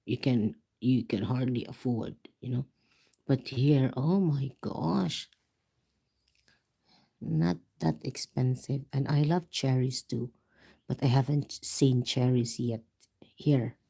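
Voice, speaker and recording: A Filipino-accented livestream host talking slowly and softly from home. The voice is husky with a gravelly edge. The tone is mellow, easygoing chat with viewers. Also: mid-range voice. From Philippines. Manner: speaking softly and quietly to avoid disturbing neighbors. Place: home, indoors.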